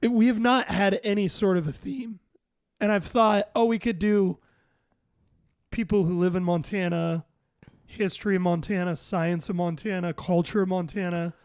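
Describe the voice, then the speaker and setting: A young man with a distinctly raspy, worn-out voice that sits up high for a man. He talks closely into the mic in a dead-dry room, rambling in a casual, joking way and restarting his sentences. He sounds like a podcast host chatting with a guest. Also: steady tone, moderate pace, darkish tone, no echo. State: hoarse, voice worn out.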